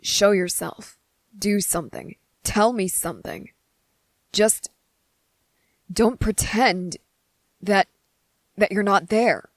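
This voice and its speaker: A woman voicing a guarded, defiant young boy with deliberate, halting, serious delivery in an ASMR roleplay audio drama. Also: mid-range voice, no room sound. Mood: insistent, frustrated.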